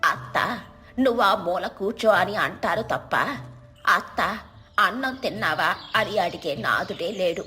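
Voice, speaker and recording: A woman's voice: mid-range voice, measured pace, bright, forceful tone, faint music behind. A woman voicing a timid, worried old village woman who sells vegetables and complains fretfully.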